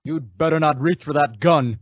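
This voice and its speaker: An actor playing a confident masked western hero in an old-time radio drama, with assured, declamatory delivery. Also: male voice, fairly high pitch, normal speed, lo-fi sound, background noise, lightly acted. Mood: firm, scornful.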